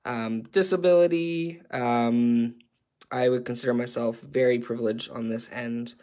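A man's voice: mid-range voice, steady tone, measured pace, darkish tone. A young man with a Canadian accent leading an online workshop, speaking earnestly and hesitantly with many filler sounds. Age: young adult. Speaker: workshop facilitator.

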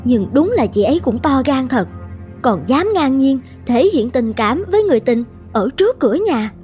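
A woman voicing a polite, observant younger sister-in-law in an animated drama, speaking in a measured way. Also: high-pitched, soft background music. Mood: suspicious, musing. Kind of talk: Vietnamese animated drama dubbing.

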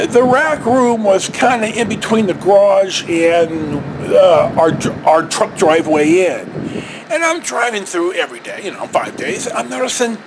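High-pitched American man telling a rambling anecdote. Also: noisy background. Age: middle-aged. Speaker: storyteller, vlogger. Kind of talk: casual anecdote to camera. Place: outdoors beside a stucco house wall. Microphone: handheld camera or phone at arm's length.

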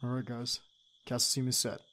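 A man's voice: mid-range voice, level tone, moderate pace, bright, forceful tone, natural voice. A man playing a young secret agent who leads his team and is a devoted boyfriend, in an audio roleplay drama. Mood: controlled, focused. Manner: clipped military radio commands.

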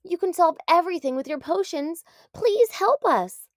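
Woman voicing an excitable, high-pitched little lizard. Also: moderate pace, no room sound, clean studio sound. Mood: pleading. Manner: high, emphatic pleading. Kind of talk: children's storybook read-aloud.

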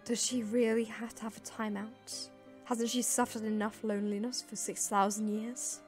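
A woman plays a sorrowful, motherly female alien ruler in a cartoon. She sounds fretful and emotional, in a fairly high voice with formal wording. Music plays behind her. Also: flat delivery, moderate pace, a little mellow, natural voice. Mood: pitying, worried. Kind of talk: animated comic dub acting.

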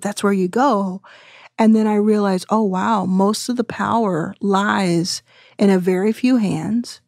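A woman in her thirties speaking as a podcast guest, explaining her views in an earnest, measured way. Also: mid-range voice, a little mellow, dry recording, clean studio sound. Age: 30s. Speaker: guest investor. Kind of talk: reflective podcast interview.

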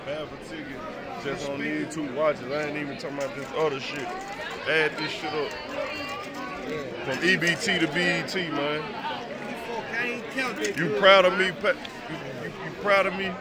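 Male rapper, somewhat high voice, boastful and profane, on a camera mic in a roaring crowd. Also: fairly even intonation, normal speed, pauses often. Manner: boastful, profane, repeats himself. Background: loud crowd.

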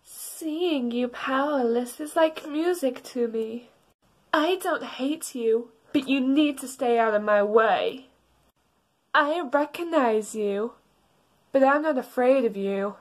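A woman's voice: sits high, takes it slowly. Cold, menacing puppet master played by a woman. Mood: cold menace. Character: menacing, possessive puppet master guarding others. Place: small bedroom.

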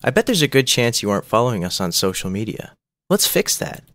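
A man reads a scripted podcast promo, playfully speaking straight to the listener. His pitch swings in a lively way around a middle register. The recording is dry and close. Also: fast, no room sound. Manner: playful, direct address.

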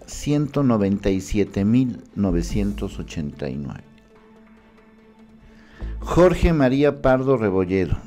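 A man in his fifties with a Mexican accent, giving emphatic, indignant political commentary to camera from a small studio on a mic in frame. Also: mid-range voice. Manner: emphatic, indignant, animated hand gestures. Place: small studio with city backdrop.

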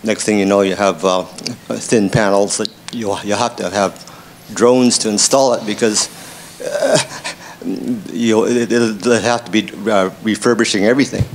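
A man in the audience putting a spontaneous question, halting as he searches for his words. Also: lowish voice, fairly even intonation. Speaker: audience member asking a question. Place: university lecture theatre. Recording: faint noise, lecture hall.

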